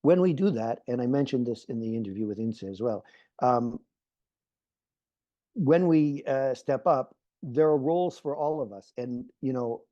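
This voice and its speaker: A man's voice: mid-range voice, lively intonation, normal speed, mellow, dry recording. Earnest middle-aged man on a muffled video call. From Canada. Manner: earnest, repeats phrases while building points. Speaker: panelist, peace activist. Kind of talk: online panel talk.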